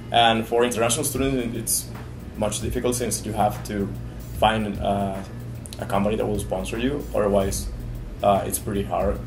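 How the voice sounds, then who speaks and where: Low, even-toned Venezuelan man, young. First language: Spanish. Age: 20s. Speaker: graduate student interviewee. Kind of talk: interview testimonial. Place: small indoor meeting room. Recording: roomy echo, music underneath.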